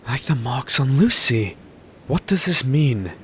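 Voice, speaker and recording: An actor playing a polite, weary doctor and loyal former pupil in an audio drama, in a measured manner. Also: male voice, medium pitch, big pitch swings, fairly bright tone, a little hiss or hum. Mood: courteous, concerned.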